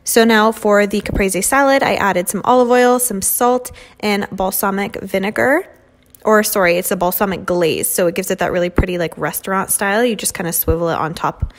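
Young woman, mid-pitched, relaxed chatty vlogger narrating her home cooking. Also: normal speed. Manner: chatty, many "um" and "like" fillers. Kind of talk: casual cooking voice-over. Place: home kitchen.

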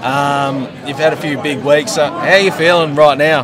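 Matey young Australian interviewer, crowd behind. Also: male voice, fairly high pitch, steady tone, moderate pace. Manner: chatty, matey, repeats words. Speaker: club media interviewer. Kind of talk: casual post-game interview. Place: team change rooms after a match. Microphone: handheld mic.

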